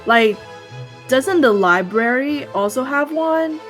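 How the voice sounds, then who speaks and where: A woman's voice: high-pitched, animated, slow. A woman chatting casually as a player at a tabletop game. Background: soft music.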